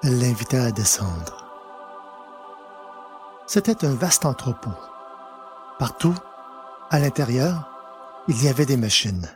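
A man narrating a literary story in French audio fiction, deliberately, pausing between short phrases. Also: medium pitch, big pitch swings, darkish tone, soft background music.

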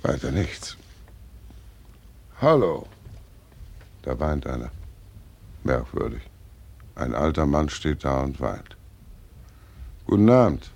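An actor playing Death as a callous, mocking old undertaker in a 1940s radio drama, muttering sardonically in a gravelly voice. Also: male voice, rumbling low, big pitch swings, slow, long pauses, darkish tone, exaggerated voice. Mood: cold, declamatory.

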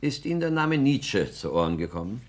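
An actor playing a pompous, ardent intellectual aesthete in a 1960s German radio play, speaking in lofty declamation. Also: male voice, mid-range voice, sing-song, a little fast, a little hiss or hum, natural voice. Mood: preaching.

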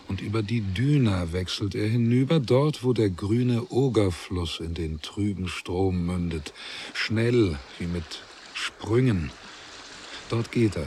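A man narrating a literary radio drama in a grave, measured way, with deliberate pauses. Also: sits low, darkish tone, slight background noise, full character voice.

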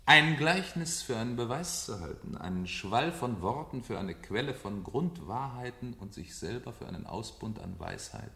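A bright, sharp-edged fire captain who swoops theatrically through his lines. He is domineering and mocking, a well-read man enjoying his own menace. It is an echoey old radio play recording. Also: on the low side, vocal fry, heavily acted. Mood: mocking, declamatory. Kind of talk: German radio play acting. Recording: echoey 1970 radio drama studio.

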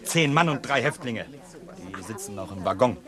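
Ein Schauspieler spielt in einer Krimikomödie im Radio einen aufgeregten, etwas hilflosen Polizeibeamten. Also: male voice, medium pitch, lively intonation, moderate pace, a bit bright, close to an everyday voice. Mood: flustered, pleading. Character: flustered, hapless male police officer asking for help. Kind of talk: radio comedy crime drama acting.

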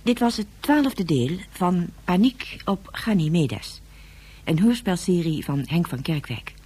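A woman reads scripted radio drama credits as an announcer. Her voice is dark and husky, on the low side, and she takes her time. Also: lively intonation, unhurried, faint music behind.

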